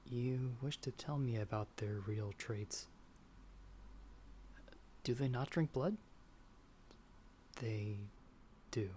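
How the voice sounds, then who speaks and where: A man playing a timid young traveler in an ASMR audio roleplay, speaking softly and hesitantly. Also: medium pitch, natural voice. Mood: curious, uneasy. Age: young adult. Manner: soft, hesitant, stammering when afraid. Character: timid young male traveler, storyteller trapped by a vampire. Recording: background storm noise.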